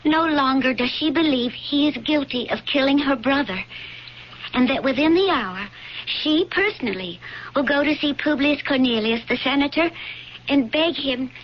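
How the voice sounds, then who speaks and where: Woman as a high-voiced, pleading young slave girl. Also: normal speed, close to an everyday voice. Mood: urgent. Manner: pleading, earnest, insistent. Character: earnest, loyal young blind slave girl of Pompeii. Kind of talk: old-time radio drama acting. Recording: dull, noisy radio drama recording.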